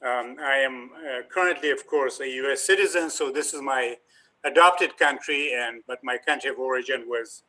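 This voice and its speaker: An older man from Afghanistan, a native Dari and Pashto speaker, giving a measured community lecture, pausing often with ums and uhs. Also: medium pitch, pushed and bright, rough recording. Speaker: professor giving a talk.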